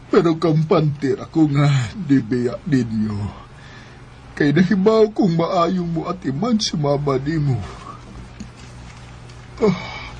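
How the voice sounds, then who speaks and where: Slow, halting, sick Cebuano husband. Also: male voice, a little high, a little mellow, a little hiss or hum. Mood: sorrowful. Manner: weak, halting, pleading. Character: sick, remorseful older husband begging forgiveness. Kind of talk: Bisaya radio drama acting.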